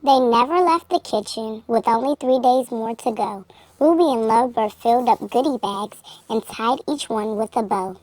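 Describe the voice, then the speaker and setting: A woman narrates a children's storybook in a high-pitched voice. The sound is dry, with very little room around it. She phrases each line in a measured, expressive way suited to young listeners. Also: dry recording. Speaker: children's book reader. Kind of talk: storybook read-aloud narration.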